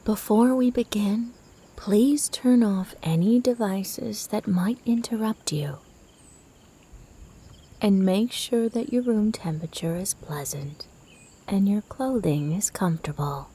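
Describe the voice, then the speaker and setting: Female meditation guide: mellow, half-whispered and breathy, gentle, soothing delivery at an unhurried pace. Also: medium pitch, slight background noise. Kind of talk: guided sleep meditation narration.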